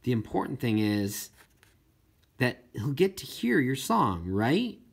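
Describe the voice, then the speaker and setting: A man voicing a gentle brother in an audiobook, carefully reasoning and reassuring in a measured way. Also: medium pitch, sing-song, vocal fry, husky, exaggerated voice. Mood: gently reasoning.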